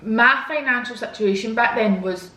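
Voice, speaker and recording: A woman's voice: fairly high pitch, moderate pace, sharp tone, echoey room. A woman, chatting frankly and casually to her viewers while answering their questions. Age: 20s. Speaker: vlogger. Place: home, indoors. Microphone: camera mic.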